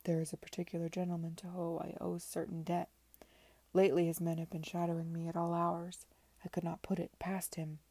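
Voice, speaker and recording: A woman acts a passionate young gentleman from a period novel, earnest and full of protest. The voice is low and slightly rough in a dry recording. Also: completely flat delivery, brisk, no echo. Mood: ashamed. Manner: insistent, protesting. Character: earnest, impassioned young man in love, debt-ridden.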